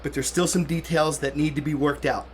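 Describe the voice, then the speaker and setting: An actor playing a smooth, evasive 1930s city planner in a radio drama, speaking in a polite, placating, measured way. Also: male voice, on the high side, steady tone, slight background noise, lightly acted. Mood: confiding, placating. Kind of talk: period radio drama acting.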